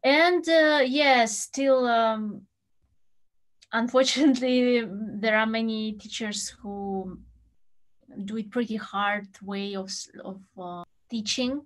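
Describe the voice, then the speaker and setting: A woman in her thirties from Russia, a native Tatar speaker, talking through an interview in English that is not her first language, thoughtful and quick to laugh, recorded from home on a webcam. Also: a little high, poor audio. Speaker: pianist. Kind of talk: interview answers. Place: home room with a piano, indoors.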